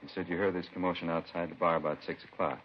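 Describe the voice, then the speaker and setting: An actor playing a matter-of-fact police detective who questions plainly and politely, in 1950s radio drama style. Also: male voice, low-pitched, fairly even intonation, quick pace, slight background noise, natural voice. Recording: dull 1950s radio recording.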